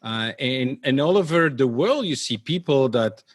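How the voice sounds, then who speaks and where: A man from Belgium with a Belgian accent, hosting a conversational interview in an animated, halting way that repeats words. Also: mid-range voice, no echo. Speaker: show host.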